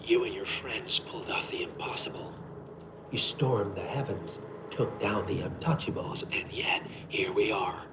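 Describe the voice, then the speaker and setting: An actor playing a scheming, menacing older power broker in a video game, speaking in a measured, silky, condescending way. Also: male voice, fairly low pitch, very noisy, lightly acted. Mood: quietly menacing. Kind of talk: cinematic video game villain acting.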